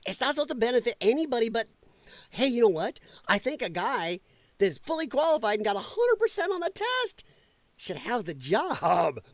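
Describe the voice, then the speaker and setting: A man's voice: extremely high pitch, huge pitch swings, normal speed, dry recording. A man hosting a livestream, reading news aloud with exasperated, sarcastic, profane commentary. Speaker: livestream host. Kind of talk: opinionated news commentary rant, reading an article aloud with asides.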